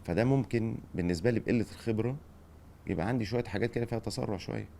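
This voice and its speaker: A middle-aged Egyptian man with a lowish voice, talking very fast. He hosts a relaxed chat and hands out advice. He keeps checking in with short rhetorical questions. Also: vocal fry, slight background noise. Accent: Egyptian Arabic. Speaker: podcast host, former football director. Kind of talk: casual advice-giving chat.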